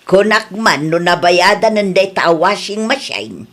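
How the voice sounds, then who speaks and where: Woman playing older aunt, Ilocano accent, blunt, nagging, very animated intonation, comedy radio drama. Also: mid-range voice, a little slow. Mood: scolding.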